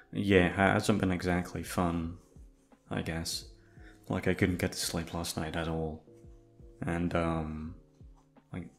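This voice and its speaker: A man's voice: sits low, creaky. A man chatting casually to his listeners as a voice actor, in an informal, rambling way with some swearing. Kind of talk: casual chat.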